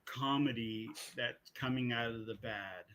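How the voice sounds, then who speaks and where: A man with a mid-pitched voice talks as a podcast co-host. His delivery is casual and conversational, like chat among friends. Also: unhurried, crowd noise.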